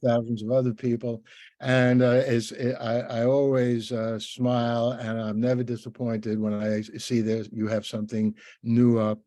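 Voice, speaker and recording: A man hosting a casual podcast interview, talking in a halting, rambling, encouraging way. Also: medium pitch, flat delivery, mellow, dry recording. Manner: halting, rambling, with many repeated word starts.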